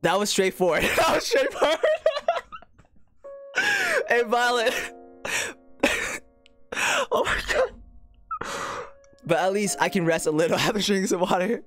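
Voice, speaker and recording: A man's voice: high voice, sing-song, measured pace, pauses often, a bit bright. A man doing casual playthrough commentary on a visual novel, reading it aloud and reacting informally with amused disbelief. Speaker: streamer. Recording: music playing behind the voice.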